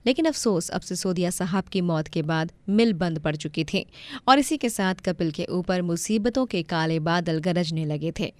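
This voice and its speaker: A woman's voice: lowish voice, expressive, moderate pace, a little mellow, no echo. Woman narrating a moral bedtime story.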